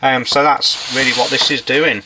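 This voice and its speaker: A man's voice: mid-range voice, steady tone, normal speed, background noise. A man in his forties talking casually and informally through hands-on electronics work as he does it, like a workshop demonstrator. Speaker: electronics repairer presenting a workshop video. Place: indoor electronics workshop at a workbench.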